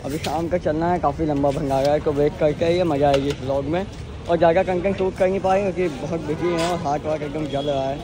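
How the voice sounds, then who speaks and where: A young man chatting to viewers in a casual vlog, his voice hoarse from fever and the heat. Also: on the high side, fairly even intonation, fast. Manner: chatty, addresses viewers as bhaiya. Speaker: vlogger. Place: outdoors at a busy street food hall. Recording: outdoor phone recording with crowd and music. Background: crowd noise and music.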